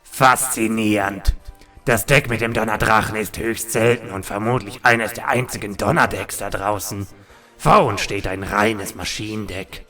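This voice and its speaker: A man's voice: fairly low pitch, steady tone, normal speed, sharp tone, faint music behind. A man voicing a formal, lecturing spirit companion who comments on duels in an anime fan audio drama. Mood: fascinated.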